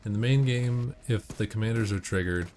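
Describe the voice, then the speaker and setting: Low-voiced man giving casual game commentary. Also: a little fast, darkish tone. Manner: explanatory, matter-of-fact. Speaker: gameplay commentator giving tips. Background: video game audio with music and gunfire.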